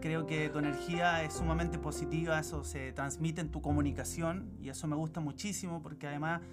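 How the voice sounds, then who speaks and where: High-voiced Chilean host over guitar music. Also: male voice, steady tone, fairly quick. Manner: enthusiastic, friendly. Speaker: podcast host. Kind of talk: podcast interview. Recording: podcast with guitar music behind.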